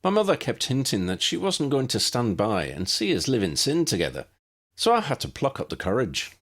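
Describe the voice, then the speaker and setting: A man's voice: mid-range voice, wildly swooping pitch, brisk, fairly bright tone, voice pushed up. A man voicing a friendly young Irishman in an audiobook, with an Irish accent. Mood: cheerful, sheepish.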